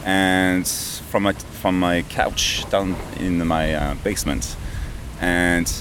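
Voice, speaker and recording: A lower-voiced man from Canada talks through his story in a halting way, with slightly non-native phrasing. Street noise and passing vehicles sit clearly behind him. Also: steady tone. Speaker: software developer guest. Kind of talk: casual interview answers. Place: outdoors near a river and traffic.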